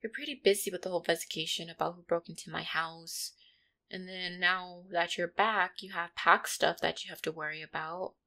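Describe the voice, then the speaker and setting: A woman voicing an affectionate, insecure catgirl girlfriend in an intimate roleplay, with a hesitant, soft-hearted manner. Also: on the low side. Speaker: roleplay audio performer. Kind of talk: intimate one-sided roleplay audio acting.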